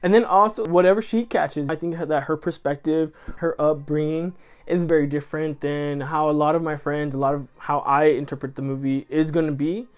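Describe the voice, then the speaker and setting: A man's voice: a little high, steady tone, moderate pace, clear, resonant tone. A man who grew up speaking Spanish, talking to camera in a rambling, earnest, effusive way and correcting himself as he goes. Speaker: channel host. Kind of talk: casual chat to camera.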